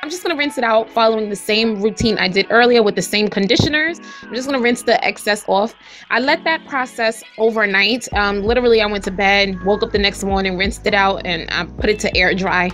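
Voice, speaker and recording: A woman with a raspy voice, chatting casually to her viewers as she reviews hair and walks through a tutorial. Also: mid-range voice, a little fast, a bit bright, faint music behind. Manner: chatty, addresses viewers directly. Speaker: hair vlogger.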